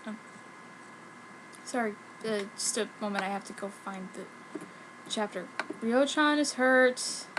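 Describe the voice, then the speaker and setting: A woman's voice: mid-range voice, little pitch movement, a little slow, pauses often, noisy background. A woman giving a theatrical amateur reading of fan fiction. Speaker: amateur fanfiction reader.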